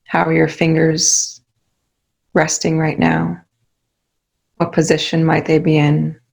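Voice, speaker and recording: Calm lady doing guided meditation, really even low tone, lots of pauses, soothing. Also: creaky.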